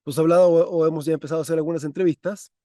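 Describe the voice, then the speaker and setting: A man's voice: a little high, fairly even intonation, rapid-fire, no echo, studio quality. A man hosting a podcast interview in a loose, chatty way. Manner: warm, chatty, many fillers and restarts. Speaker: podcast host. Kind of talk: conversational interview. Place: parents' country house.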